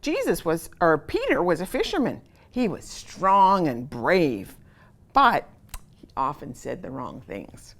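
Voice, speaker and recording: A woman's voice: on the low side, sing-song, takes it slowly. A woman hosting a children's story time, reading aloud expressively and putting questions to her young listeners. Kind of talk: animated picture-book read-aloud for children.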